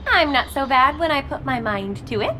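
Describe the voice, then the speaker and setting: A woman's voice: sits high, big pitch swings, normal speed, bright, forceful tone, slight background noise, heavily acted, poor audio. A woman voicing a perky, cocky young woman in a video game, with a playful, smug, exclamatory manner. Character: perky, cocky young woman calling herself Professor Bunny. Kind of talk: animated video game voice acting.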